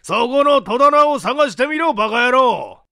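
An irascible old scientist in an audiobook story. He is bossy and gruff, barking in an old man's idiom at a high pitch, with a close, dry sound. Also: lively intonation, a little slow, sharp tone, no echo, heavily acted. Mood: irritated, barking. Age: older. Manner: barking, old-fashioned elderly speech.

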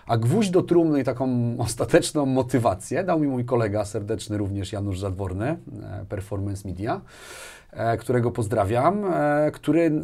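A man's voice: mid-range voice, dark tone, husky. A man in his forties talking conversationally as an interview guest, telling personal anecdotes and often adding tag questions. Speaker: interview guest, business manager. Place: small furnished studio, indoors. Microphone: lapel mic.

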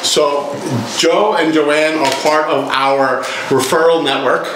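A man's voice: fairly high pitch, fairly even intonation, takes it slowly. A middle-aged man hosts a casual promotional chat, repeating words for emphasis and endorsing his guests. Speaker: host, realtor. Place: small meeting room, indoors. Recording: very echoey room, clear background noise.

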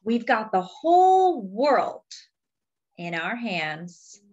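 A woman's voice: fairly high pitch, very animated, extremely slow, darkish tone, lo-fi sound. A woman reading a picture book aloud to young children, in simple phrases as a children's storyteller.

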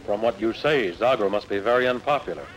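A man's voice: on the low side, fast talker, a crowd in the background, lightly acted. A man playing a resolute, plain-spoken young hero in a dubbed adventure film. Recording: noisy old film soundtrack.

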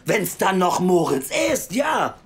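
A weary, scornful female welfare official in an audio drama. Her voice sits very low for a woman. She lectures bluntly and sardonically, as someone who has heard every story before. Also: sing-song, takes it slowly, creaky, exaggerated voice. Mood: harsh, impatient. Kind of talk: naturalistic audio drama acting.

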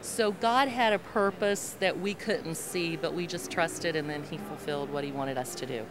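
A middle-aged woman gospel singer with a low voice, talking in an upbeat, chatty way into a handheld mic at a convention booth. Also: moderate pace, crowd noise. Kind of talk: interview answers. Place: convention hall interview booth. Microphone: handheld mic held by host. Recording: noisy convention hall.

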